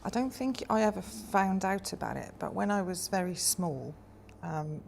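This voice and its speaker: A woman in her forties from Wales, speaking thoughtfully in a personal interview, often pausing with hesitant ums. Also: medium pitch, lively intonation, creaky, a little hiss or hum. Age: 40s.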